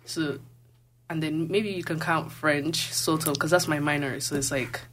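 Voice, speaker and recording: A young woman from Nigeria talks in a low, relaxed register, looping through "like" and restarting her thoughts as she chats on a student radio show. A faint hum sits under her voice. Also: normal speed. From Nigeria (Lagos). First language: Igbo. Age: young adult. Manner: rambling, filler-heavy with lots of "like". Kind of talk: casual chat.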